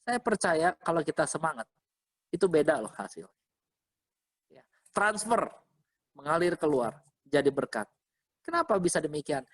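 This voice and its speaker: A man's voice: on the high side, normal speed, pauses often. A man preaching as a pastor in an informal, interactive way, repeating phrases and checking in with listeners. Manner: interactive, often checking with listeners using short questions; animated, exhorting, repeats phrases. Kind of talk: informal conversational sermon to an online congregation.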